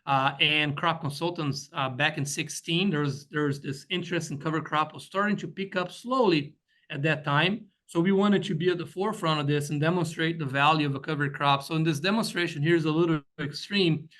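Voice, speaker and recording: Man, slightly high voice, webinar presenter, weed scientist, explanatory, checks in with "right?". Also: steady tone, a little fast, fairly bright tone. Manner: explanatory, frequent "you know" and "right?" checks. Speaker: guest extension weed scientist.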